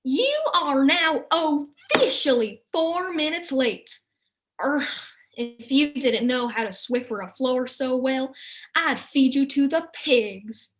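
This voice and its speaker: A woman's voice: sits high, lively intonation, slow. A woman voicing a cruel, bossy older mother who scolds in a snappy way. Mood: scolding.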